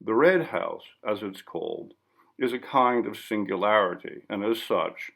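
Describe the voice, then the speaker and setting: A man narrating literary fiction from a script in a measured, sober, clipped manner. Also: lowish voice, animated, creaky, fairly bright tone. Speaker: audiobook narrator.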